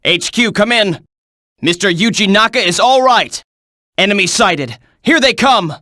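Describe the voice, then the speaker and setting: Tense, urgent game soldier. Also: male voice, high-pitched, lively intonation, unhurried, bright, forceful tone, no room sound, clean studio sound, exaggerated voice. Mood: brisk, businesslike. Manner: clipped, urgent military delivery. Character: tense military soldier barking combat reports and orders. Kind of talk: video game battle voice clips.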